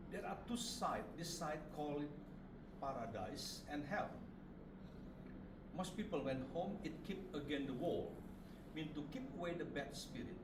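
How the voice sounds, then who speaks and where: An Indonesian-accented man with a slightly high voice gives an informal craft demonstration. He speaks simple, broken English in short phrases. He is recorded at a distance in an echoey room with loud noise behind him. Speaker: puppet maker and master giving a demonstration. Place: puppet studio, indoors.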